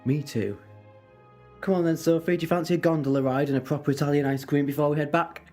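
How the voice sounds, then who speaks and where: A man's voice: on the high side, fairly even intonation, quick pace, darkish tone, soft background music, natural voice. A man playing a kindly, assured time-travelling adventurer in an audio drama, reassuring and breezily understated. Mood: breezy, warm.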